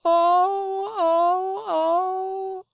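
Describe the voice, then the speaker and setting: A storyteller voicing an emotional young wooden puppet boy in a high, childlike, pleading voice. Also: slow, no echo, dull audio. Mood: tearful, pleading. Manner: emotional, wailing, eager.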